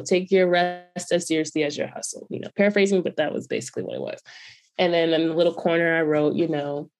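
A woman's voice: fairly low pitch, a little mellow. A woman hosting a podcast, chatting casually in slangy, laughing storytelling while getting over covid. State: recovering from covid. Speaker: podcast host. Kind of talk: casual chat.

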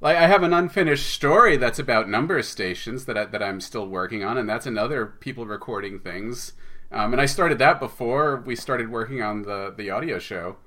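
Bright-voiced man, thoughtful interview guest. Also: mid-range voice, expressive, moderate pace. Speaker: podcast guest, audio drama writer. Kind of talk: conversational interview.

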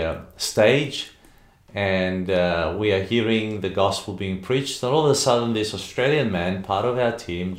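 An earnest male host shares a personal story and then interviews his guest, hesitating now and then. His voice sits low. The room adds a clear echo.

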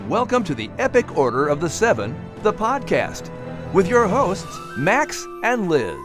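American guy announcing and reading a kids story, fairly high voice, theatrical, super animated. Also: measured pace, over music. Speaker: narrator and podcast announcer. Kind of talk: scripted storytelling for children. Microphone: close studio microphone.

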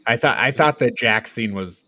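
A man's voice: medium pitch, expressive, moderate pace, bright, forceful tone. A man chatting casually as a podcast co-host reviewing a film, informal and given to mild swearing.

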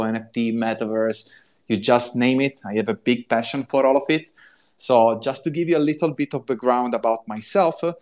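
A man in his thirties with an Italian accent, talking enthusiastically as a guest on a live audio room show and explaining his work step by step. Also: medium pitch. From Italy. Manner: enthusiastic, explains step by step in non-native English. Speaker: guest, crypto consultant.

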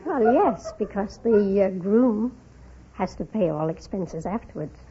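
A woman's voice: mid-range voice, lively intonation, measured pace, dark tone. A woman giving short, hesitant answers as a contestant on a quiz show. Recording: muffled, noisy old radio, audience.

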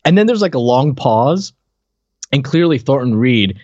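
A man talks casually as a podcast co-host, retelling gags in a loose, conversational style and circling back over his phrases. His pitch is average. The sound is dry, with a slightly muffled, lo-fi edge. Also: sing-song, unhurried, a little mellow, no room sound.

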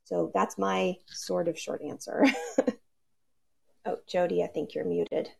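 A woman speaks with a strongly gravelly, fried texture in a lowish voice. She rambles eagerly, with fillers, about her work on a video call panel. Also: little pitch movement, slow. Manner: enthusiastic, rambling with fillers and laughs. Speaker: sonic branding consultant.

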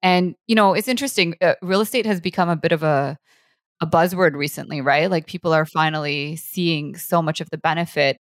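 A woman's voice: low-pitched, a little fast, no room sound, studio quality. A woman hosting a casual interview, asking questions in a conversational way with frequent fillers. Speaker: interview host.

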